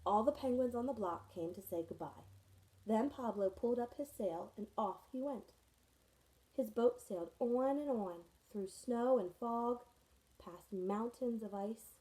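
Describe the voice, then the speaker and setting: A woman reads a children's picture book aloud to her students, and her mellow, soft-edged voice carries the story along. She storytells expressively and stops for friendly asides to her young listeners. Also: on the high side, unhurried, poor audio. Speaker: teacher reading to her students.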